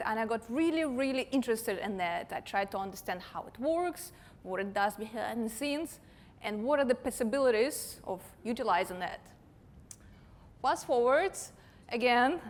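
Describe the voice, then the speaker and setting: A woman in her thirties from Russia, speaking English with a Russian accent, gives a chatty, self-deprecating conference talk with light laughs. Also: a little high. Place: large conference hall. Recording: long hall reverb.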